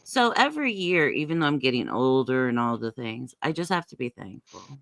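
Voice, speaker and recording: A woman's voice: extremely low pitch, sing-song, a little fast, vocal fry, fairly bright tone, no echo, studio quality. A woman in her fifties chatting casually, agreeable and reflective. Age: 50s. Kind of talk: casual chat.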